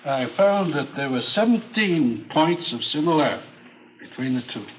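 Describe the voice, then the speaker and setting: An actor playing a matter-of-fact forensic expert witness in an old radio drama, testifying in a measured, unemotional way. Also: male voice, fairly high pitch, lively intonation, lightly acted. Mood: factual, measured. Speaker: forensic chemist on the witness stand. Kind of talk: 1950s radio drama acting. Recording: muffled, noisy old radio recording.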